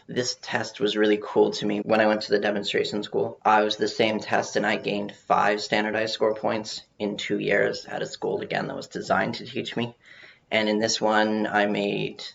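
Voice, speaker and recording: A man giving an informal research presentation, speaking haltingly with some repeated words, on muffled audio. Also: fairly low pitch, creaky, a bit bright, slight background noise. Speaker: presenter on learning to read.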